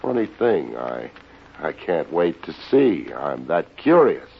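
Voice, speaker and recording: An actor playing a wisecracking, unflappable private investigator in an American radio drama, dry and sardonic, with an American accent. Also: male voice, deep voice, very animated, slow pace, vocal fry, slight background noise, full character voice. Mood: cool, taunting. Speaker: lead actor narrating and playing a detective. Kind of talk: 1950s American radio detective acting. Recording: dull old radio recording with music.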